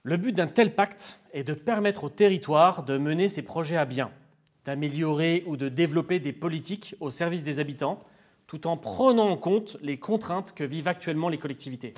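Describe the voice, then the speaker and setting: Formal male expert, slightly high voice. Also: normal speed. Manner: formal, didactic. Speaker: expert interviewee on intercommunal finance. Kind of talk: structured, prepared explanation in an interview.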